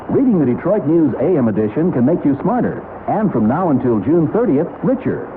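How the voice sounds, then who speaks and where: A man's voice: on the high side, big pitch swings, fairly quick, over music. An American man reading a scripted promotional spot as a 1970s radio commercial announcer. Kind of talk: 1970s radio advertising read. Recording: noisy narrow-band 1970s radio recording.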